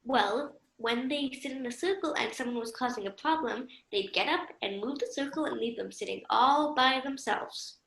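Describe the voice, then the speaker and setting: A woman's voice: on the high side, normal speed, gravelly, few pauses, a bit bright, echoey room. A woman playing a scheming, matter-of-fact teenage girl camper in an amateur radio play. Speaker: student radio play performer.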